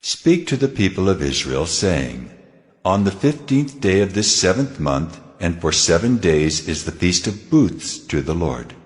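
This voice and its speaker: An actor playing God in a dramatized scripture reading, solemn, measured and commanding as he hands down laws. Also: low voice, big pitch swings. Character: God, commanding solemn lawgiving male deity. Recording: long cavernous reverb, faint music.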